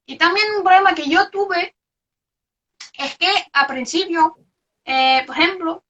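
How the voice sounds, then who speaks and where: A young woman from Belgium with a high, bright voice, speaking Spanish as a second language with plenty of fillers and restarts. The sound is dull and lo-fi, like audio from an online video call. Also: measured pace. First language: not Spanish. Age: young adult. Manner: hesitant, many fillers and restarts. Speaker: researcher guest. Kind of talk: casual interview over a live video stream.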